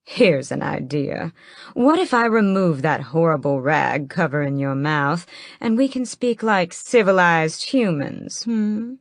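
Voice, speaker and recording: A sinister male vampire drawls each line slowly and with lazy mockery, voiced by a woman. His voice sits low in a dry, close sound. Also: expressive, dry recording. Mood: mocking. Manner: drawling, mocking, unhurried.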